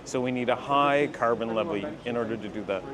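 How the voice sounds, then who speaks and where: Male interviewee, mid-pitched and measured, pausing often, busy street bustle behind. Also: a crowd in the background.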